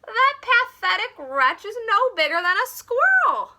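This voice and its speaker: Grumpy old neighbor for a storybook, voiced by a woman: high-pitched, slow, indignant exclaimer. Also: big pitch swings, sharp tone, full character voice. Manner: exclaiming, drawn-out indignant delivery. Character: grumpy old man, easily outraged neighbor.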